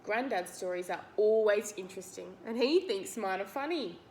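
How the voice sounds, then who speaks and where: A woman's voice: medium pitch, lively intonation, moderate pace, a little mellow, slight background noise. A woman reading a picture book aloud to children, explaining it simply and putting questions to the listener. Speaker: read-aloud teacher.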